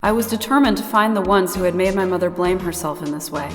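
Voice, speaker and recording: A woman's voice: lowish voice, quick pace, in a large hall, faint music behind, close to an everyday voice. A woman playing a solemn, resolute spirit medium in a voiced game drama, reciting her written testament. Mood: solemn resolve.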